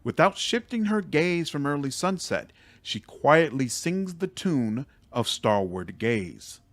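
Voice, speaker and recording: A man's voice: fairly high pitch, big pitch swings, slow, dry recording. A man reading scripted fantasy game narration in a dramatic storytelling style. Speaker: gaming video creator and narrator.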